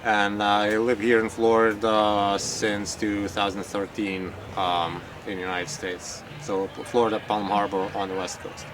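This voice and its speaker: A man from Lithuania, a bikepacking racer giving short interview answers in a halting way with small restarts. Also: lowish voice, flat delivery, very noisy.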